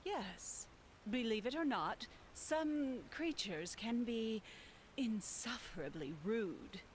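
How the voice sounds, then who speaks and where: A woman's voice: fairly high pitch, animated, slow, a little hiss or hum. A woman playing a courteous, evasive housekeeper of a mysterious fantasy manor, formal and primly polite in a game. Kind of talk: scripted fantasy game dialogue.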